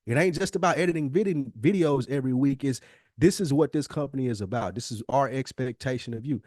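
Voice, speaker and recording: A man's voice: mid-range voice, brisk, darkish tone, no echo, clean studio sound. A man in a conversational podcast discussion, explaining emphatically and posing rhetorical questions as a co-host.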